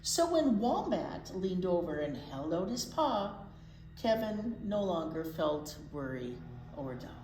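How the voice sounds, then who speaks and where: A woman reading a picture book aloud to young children as a storytime teacher, expressively, with deliberate pauses and little asides. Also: on the low side, big pitch swings, over music. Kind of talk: animated picture book read-aloud for preschoolers. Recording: roomy echo, faint background noise.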